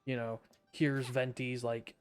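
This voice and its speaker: A man's voice: mid-range voice, little pitch movement, takes it slowly, clean tone. A man streaming a game live, casually joking and laughing about the story. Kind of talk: casual live gaming commentary.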